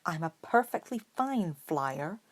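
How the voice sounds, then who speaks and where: A woman's voice: lowish voice, big pitch swings, takes it slowly, exaggerated voice. A woman voicing a casual, slightly prickly and modest eleven-year-old boy in a story reading. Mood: guarded. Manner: grumbling, guarded, plain-spoken.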